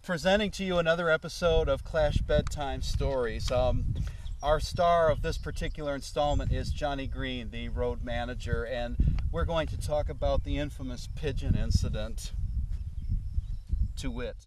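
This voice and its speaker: A man's voice: mid-range voice, moderate pace, background noise. A man introducing and closing his own series in a playful, tongue-in-cheek manner. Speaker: host of the series. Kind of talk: wry spoken introduction. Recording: voice a little far from the mic.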